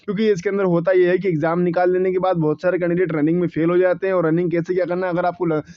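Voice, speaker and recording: A man's voice: sits high, little pitch movement, rapid-fire, no room sound, muffled recording. A young man hosting an exam coaching channel, explaining results casually in a conversational tone, with frequent restarts and repeated numbers. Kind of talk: informal exam analysis talk to viewers.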